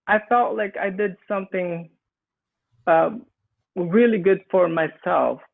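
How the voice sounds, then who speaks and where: A man's voice: high voice, gravelly. A man from the Philippines with a Filipino accent, earnestly telling his immigration story on a panel, often restarting his sentences. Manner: earnest, halting with frequent restarts. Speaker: immigrant small business owner. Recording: tinny phone-like line.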